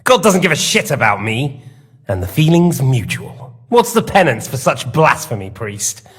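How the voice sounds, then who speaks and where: A man's voice: medium pitch, sing-song, normal speed, fairly bright tone, slightly rough. A man playing a smug, sadistic lord in a game, interrogating with mocking, theatrical, mock-polite menace. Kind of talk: dramatic game acting.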